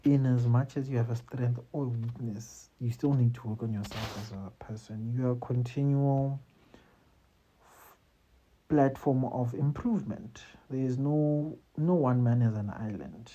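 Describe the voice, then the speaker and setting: A man's voice: medium pitch, mellow, husky, lo-fi sound. A South African man hosting job interview advice in a loose, conversational way, often adding "you see" and "man". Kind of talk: casual advice talk to camera.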